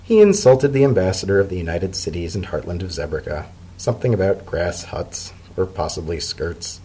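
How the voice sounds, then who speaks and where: A man's voice: low voice, animated, moderate pace, dark tone, husky, low-quality recording. A man voicing an unflappable female pony secretary with a casual, matter-of-fact manner in a storybook reading.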